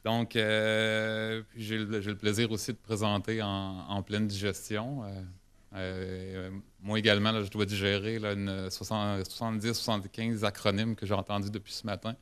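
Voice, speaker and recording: Even-toned Québécois man, hesitant presenter. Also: fairly low pitch, normal speed, smooth. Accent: Québécois French. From Quebec, Canada. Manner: hesitant, frequent restarts and self-corrections. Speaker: government forestry specialist presenting. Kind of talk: informal conference presentation.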